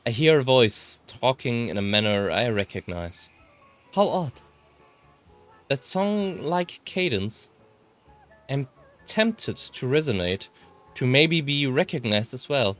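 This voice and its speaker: A German man with a German accent reading first-person visual novel text aloud as a let's player, in an expressive way. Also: medium pitch, slow pace, pauses often, soft background music.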